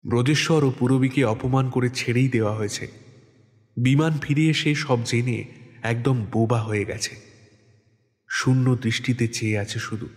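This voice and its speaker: A man's voice: medium pitch, normal speed, pauses often. A man reading scripted dramatic narration as the narrator of an audio story. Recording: long hall reverb.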